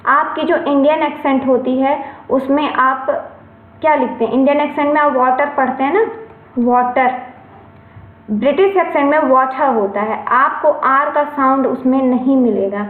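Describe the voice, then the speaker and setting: A woman's voice: high voice, normal speed. A woman teacher giving an exam-prep lesson, explaining each point step by step and often checking in with her students. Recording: echoey, phone-like lo-fi recording.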